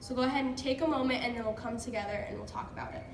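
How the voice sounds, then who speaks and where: High-voiced woman teacher in an echoey room. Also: fairly even intonation, rapid-fire. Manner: encouraging, prompting with frequent questions. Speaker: teacher leading a read-aloud. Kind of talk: interactive picture-book read-aloud to children. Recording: echoey room, distant mic, background noise.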